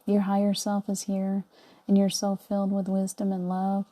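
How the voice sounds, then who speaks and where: A middle-aged woman leading a guided visualization meditation in a soothing, coaxing way, often dropping to a soft whisper. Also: medium pitch, monotone, moderate pace.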